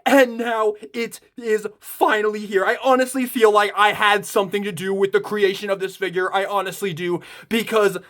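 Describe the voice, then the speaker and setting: A man's voice: high voice, steady tone, moderate pace, hardly any pauses, pushed and bright. A young man in his twenties reviewing a toy in a casual unboxing, gushing with enthusiasm and swearing freely. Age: 20s.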